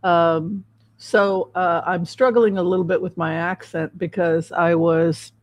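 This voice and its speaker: A woman hosting a casual livestream as a psychic reader, talking in a halting, repetitive way. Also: fairly low pitch, steady tone. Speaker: host, psychic tarot reader.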